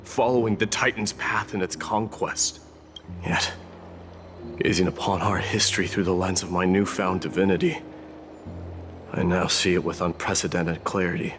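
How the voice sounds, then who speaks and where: A man's voice: deep voice, noisy background. A man playing a proud, stern warrior prince turned war god in a video game, with a grandiose, declamatory delivery. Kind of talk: scripted video game acting. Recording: clear music behind.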